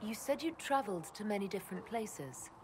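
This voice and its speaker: A woman's voice: medium pitch, expressive, a little fast, close to an everyday voice. A woman voicing a young, curious and protective elven mage in a video game, speaking in a composed, direct, earnest way. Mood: interested. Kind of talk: video game dialogue acting. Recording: clear ambient noise behind game dialogue.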